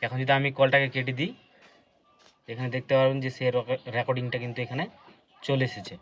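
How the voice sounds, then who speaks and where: A man's voice: medium pitch, little pitch movement, fast talker. A man presenting an unscripted step-by-step phone tutorial, explaining things repetitively and addressing viewers directly. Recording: dull lo-fi audio with faint background noise.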